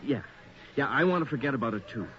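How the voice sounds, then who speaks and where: A man's voice: mid-range voice, animated, quick pace, a bit bright, lightly acted. A man playing a persistent, wry reporter in a radio drama, talking in a needling, conversational way. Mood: wry insistence. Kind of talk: 1980s radio drama acting, one side of a phone call. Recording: muffled, slightly noisy radio recording.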